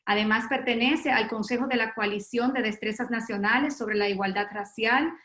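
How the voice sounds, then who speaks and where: A woman introduces a guest at a formal webinar on a video call, reading her bio with filler pauses. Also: a little high, a bit bright, echoey room. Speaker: host and moderator of a webinar.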